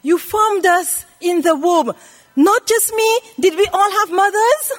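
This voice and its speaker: High-pitched, slow-preaching elderly woman. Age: 80s. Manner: emphatic, repeats phrases, puts questions to listeners. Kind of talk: sermon teaching from scripture.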